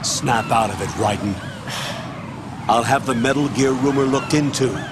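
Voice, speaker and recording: Casting: male actor as older military commander, middle pitch, stern, guarded, firm orders, game dialogue over noticeable noise. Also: moderate pace, natural voice. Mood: commanding. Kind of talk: video game radio briefing acting.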